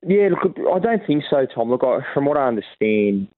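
A man's voice: mid-range voice, sing-song, moderate pace, no room sound. An Australian man talking casually as a podcast guest, with frequent restarts and self-corrections. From New South Wales, Australia. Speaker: rugby league journalist guest. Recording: dry, phone-like narrow sound.

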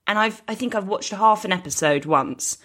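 A woman's voice: mid-range voice, fairly quick, a bit bright. A woman co-hosting a podcast in casual chat, musing aloud and repeating phrases for emphasis. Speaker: podcast co-host.

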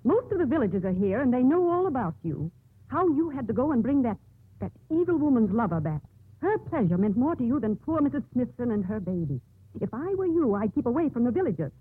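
A woman playing a spiteful, sharp-tongued hospital nurse in the style of 1950s radio drama. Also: fairly high pitch, big pitch swings, a little fast, husky, dry recording, heavily acted. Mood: scornful. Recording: tinny old radio broadcast.

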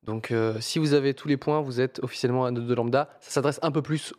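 A man hosting a relaxed podcast chat in informal, slangy and halting speech. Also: medium pitch. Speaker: podcast host. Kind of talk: casual chat.

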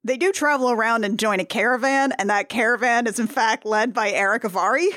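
High-voiced chatty woman. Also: a little fast, fairly bright tone. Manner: chatty, acts out scenes in silly voices. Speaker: podcast co-host. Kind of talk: casual movie podcast chat.

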